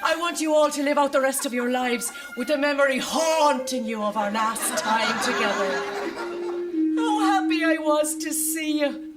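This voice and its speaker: A woman's voice: high-pitched, moderate pace, distant mic, loud music behind the voice, a loud crowd, close to an everyday voice. A woman playing a self-pitying, melodramatic older Irish mother in a live sci-fi radio comedy. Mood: martyred, pleading. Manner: melodramatic, long-suffering, fussing.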